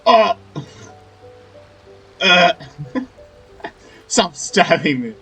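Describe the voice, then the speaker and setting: A man's voice: high voice, big pitch swings, drawn-out words, sharp tone, husky, soft background music. A man giving casual let's play commentary, reactive and playful, often repeating short phrases. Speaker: gaming video creator.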